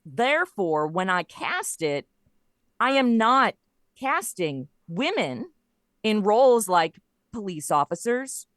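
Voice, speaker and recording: A woman speaking as an interview guest in casual chat, telling stories in an animated way and acting out quoted lines. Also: medium pitch, takes it slowly, no echo, clean studio sound. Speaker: guest, writer of a comedy audio show.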